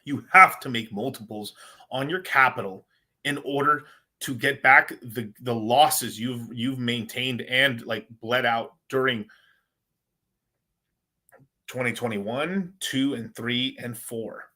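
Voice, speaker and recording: Dude rambling on a crypto stream, bright voice, says bro constantly. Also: male voice, medium pitch, takes it slowly. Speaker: crypto livestream host. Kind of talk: casual livestream chat.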